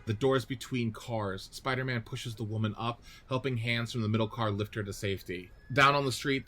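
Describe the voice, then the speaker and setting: A man's voice: medium pitch, sharp tone, a little hiss or hum. A man reading scripted narration and stage directions for a radio play.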